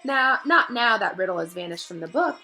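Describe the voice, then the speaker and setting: Calm, wise headmaster man female reader, measured, gently authoritative, bright storybook voice. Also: mid-range voice, faint music behind, poor audio. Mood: gently warning.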